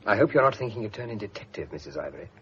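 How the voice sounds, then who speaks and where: An actor playing a forceful, secretive English gentleman on the run, clipped and commanding, in a radio drama. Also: fairly low pitch, sing-song, racing. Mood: guarded. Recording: dull radio recording, noisy background.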